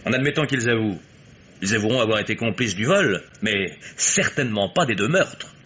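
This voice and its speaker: An actor playing a confident, sly private detective in a French radio mystery, with an assured, wry manner. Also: male voice, on the low side, animated, normal speed, sharp tone, breathy edge, heavily acted. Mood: wry, insistent. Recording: noisy old radio drama recording.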